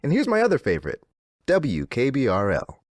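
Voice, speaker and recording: An actor playing an unsettlingly upbeat, controlling radio DJ, with theatrical patter and erratic, insistent cheer. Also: male voice, medium pitch, huge pitch swings, moderate pace, dry recording. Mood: smooth, wistful.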